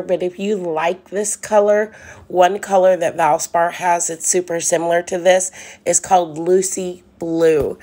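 A middle-aged woman narrating her furniture painting in an unhurried, thoughtful way, with frequent ums and restarts. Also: on the low side, darkish tone. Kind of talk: relaxed voice-over narration.

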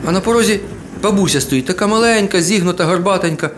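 A man's voice: sits high, fast, very noisy. A man telling a folk tale in a colloquial, folksy way with playful asides. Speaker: storyteller. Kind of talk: oral folk tale storytelling. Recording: guitar music behind the voice. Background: plucked guitar music.

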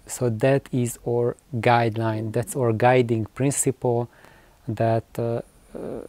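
A man in his forties explaining things to camera in an unscripted vlog, with halting phrasing and repeated words. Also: medium pitch, mellow, no room sound. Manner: halting, hesitant phrasing with repetitions. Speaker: video host. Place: small cluttered room with shelves of records, indoors.

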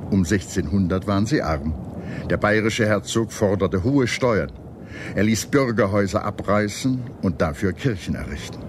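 Male history narrator, low register, measured formal scripted read, noisy background. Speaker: documentary narrator.

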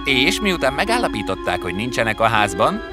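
An actor voicing a self-assured young boy detective in an animated story, explanatory and commanding. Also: mid-range voice, music in the background, close to an everyday voice. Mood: explaining, confident.

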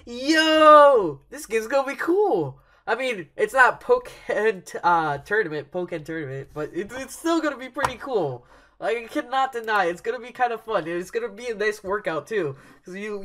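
A young man hosting a casual game-trailer reaction from a dark bedroom, rambling with lots of fillers and restarts and breaking into loud excited outbursts. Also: sits high, moderate pace. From Cuban heritage. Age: young adult. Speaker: YouTube reaction host. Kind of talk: excited live reaction chat. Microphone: headset, webcam at desk.

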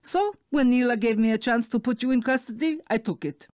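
An actress playing a condescending, menacing spider villainess in a video game, with a silky manner. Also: female voice, a little high, brisk, darkish tone, no echo, studio quality, close to an everyday voice. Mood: smug. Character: sinister, condescending spider villainess, a secretly corrupt Interpol official.

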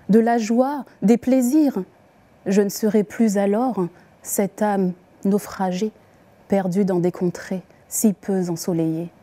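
A woman reciting poems as the poet herself, with deliberate, expressive phrasing and pauses. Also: mid-range voice. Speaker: poet reading her own poems. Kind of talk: poetry recitation.

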